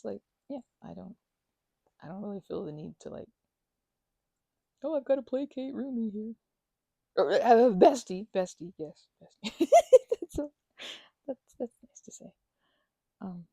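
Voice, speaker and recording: A woman acting as a young female housemate in a cozy ASMR roleplay, talking casually in a very lively, swooping voice. She rambles, stumbles over her words and turns playful or bashful. The sound is dry and close, with a slightly husky edge and a mellow tone. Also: mid-range voice, no echo, exaggerated voice. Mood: affectionate, playful. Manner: rambling, halting, stammering, giggly asides. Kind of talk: ASMR roleplay, casual one-sided conversation.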